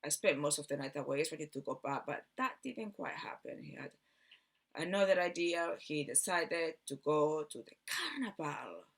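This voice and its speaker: A woman from Barcelona with a Spanish accent tells a rambling personal story in a halting way, repeating words and trailing off. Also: low-pitched, animated. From Barcelona, Spain. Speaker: video host telling personal story.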